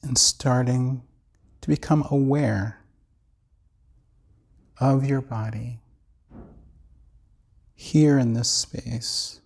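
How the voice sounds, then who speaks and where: A man with a slightly creaky voice guiding a body scan meditation in a measured, soothing way with long pauses. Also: mid-range voice, steady tone, a little mellow. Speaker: meditation teacher.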